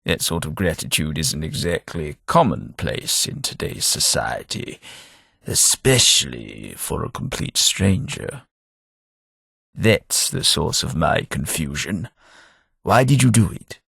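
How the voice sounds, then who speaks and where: An actor with a British accent playing a weary old ghoul man for a video game, speaking in a measured, formal way with dry wit and a husky voice. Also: rumbling low, big pitch swings, fairly bright tone, no room sound, heavily acted. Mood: reflective. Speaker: game character. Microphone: close studio mic.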